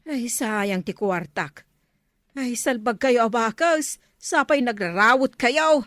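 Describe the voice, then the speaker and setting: A high-voiced, grumbling village woman with an Ilocano accent. She haggles plaintively over her cattle in a radio comedy. The sound is dry and close. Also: slow pace, fairly bright tone, no echo. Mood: exasperated lament. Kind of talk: radio comedy drama acting.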